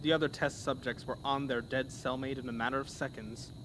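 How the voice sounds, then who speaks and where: A man reads a scripted horror tale as narrator, with theatrical, dramatic delivery. His voice sits at a middle pitch. The recording carries clear background noise. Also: fairly even intonation, brisk.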